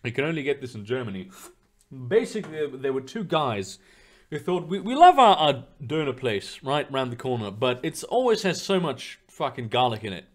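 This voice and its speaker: A man's voice: medium pitch, very animated, moderate pace. A young man streaming live, chatting loosely with his viewers in a rambling way, full of fillers and casual swearing. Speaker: streamer reading chat.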